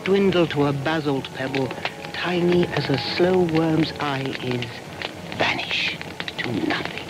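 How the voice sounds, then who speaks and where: A woman's voice: low-pitched, takes it slowly, noisy background. An actress playing a solemn female shaman, chanting spells with intense, commanding deliberation in a radio drama. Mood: commanding, cursing. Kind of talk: incantatory radio drama verse. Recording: prominent music underneath.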